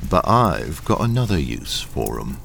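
A man acting a gruff, secretive captain in a radio thriller, with brusque, probing questions. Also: very deep voice, very animated, a little slow, a bit bright, exaggerated voice. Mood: businesslike. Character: gruff, secretive old mine-boss captain, shady employer. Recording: noisy radio drama with rain sounds.

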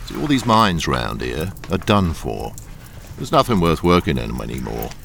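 A man acting a gruff, secretive captain in a radio thriller, with brusque, probing questions. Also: rumbling low, sing-song, moderate pace, a bit bright, heavily acted. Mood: businesslike. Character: gruff, secretive old mine-boss captain, shady employer. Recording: noisy radio drama with rain sounds.

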